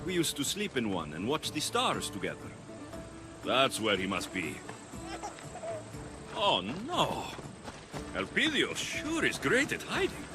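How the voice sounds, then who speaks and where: A man's voice: medium pitch, wildly swooping pitch, unhurried, pauses often, music in the background. An actor playing a battle-hardened Greek mercenary who is a doting, playful young father in a video game drama. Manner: playful, sing-song when talking to his baby.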